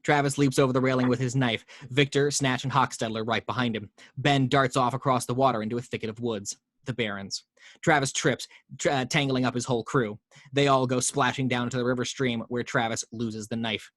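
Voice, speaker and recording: A man at home reading screenplay action lines over a video call, steady with small slips. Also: mid-range voice, brisk, dry recording. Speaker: table read host reading action lines. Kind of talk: script narration.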